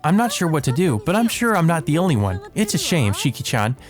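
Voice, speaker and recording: A man's voice: fairly high pitch, sing-song, fairly quick, faint music behind, voice pushed up. A man voicing a flirtatious, eccentric woman doctor from a visual novel, with a gushing, sing-song teasing manner. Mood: playful musing. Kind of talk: visual novel character read-aloud.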